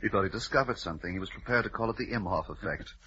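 An actor playing a wry, casual lab chemist, unfazed by gruesome work, in an old-time radio drama. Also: male voice, on the low side, very fast, bright, forceful tone, slight background noise. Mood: wry, amused. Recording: muffled old radio recording.

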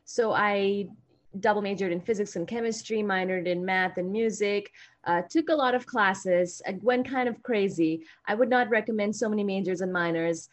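A woman's voice: medium pitch, normal speed. Upbeat woman on a video call. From India. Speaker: guest speaker. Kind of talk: informal online talk with slides.

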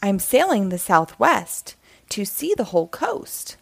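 A woman voicing an easygoing female hedgehog who loves sailing, polite and unhurried, in a children's storybook. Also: mid-range voice, sing-song, vocal fry, no room sound, full character voice. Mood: cheerful.

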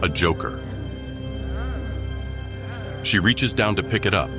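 A man narrating a scripted audio drama in a measured, dramatic storytelling manner. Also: low voice, animated. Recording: loud music behind.

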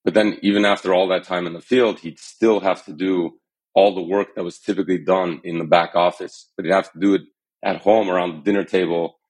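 A man in his thirties, a company founder telling his founding story in a podcast interview, earnest and measured. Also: low voice, fairly even intonation. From Armenian, born in Iran, raised in the US. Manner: earnest and measured, some fillers. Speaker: startup founder and CEO, podcast guest.

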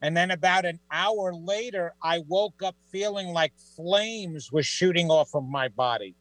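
New York man telling lively stories. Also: sits high, a little slow, no room sound, poor audio. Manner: lively, joking storyteller. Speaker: guest psychic medium. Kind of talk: casual radio chat.

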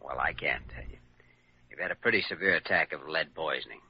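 An actor playing a brisk, probing young hospital doctor in a 1940s-style radio drama. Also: male voice, a bass voice, slightly rough, exaggerated voice. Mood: reassuring. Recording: dull, noisy old radio recording.

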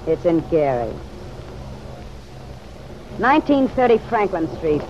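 A woman's voice: on the low side, big pitch swings, slow. A woman playing a defiant, working-class American woman with a curt, slangy, sardonic way of talking, in an old-time radio drama. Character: hard-boiled, sharp-tongued working-class woman, defiant. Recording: muffled vintage radio, faint noise.

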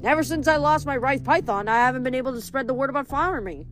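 A woman voicing a cheerful, boastful male farming god who chats in a bouncy, lively way. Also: high voice, fast, faint music behind, pitched above the performer's own voice. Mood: chatty.

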